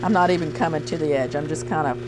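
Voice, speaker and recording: A woman teaching shear sharpening, talking in a relaxed way and thinking aloud as she works in her workshop. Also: low voice, quick pace, very noisy. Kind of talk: hands-on demonstration talk.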